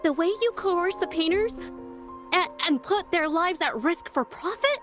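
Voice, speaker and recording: A woman voicing a gentle, earnest young painter in a video game, speaking softly and hesitantly but with quiet resolve. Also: very high voice, animated, soft background music, heavily acted. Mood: nervous but resolute. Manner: hesitant, soft-spoken, halting. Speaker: game character.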